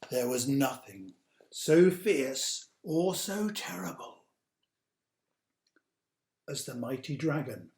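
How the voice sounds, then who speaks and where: A man reading a picture book aloud to children, with dramatic drawn-out emphasis and hushed asides. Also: medium pitch, expressive, creaky. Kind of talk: animated children's storytime narration. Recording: roomy, echoey sound.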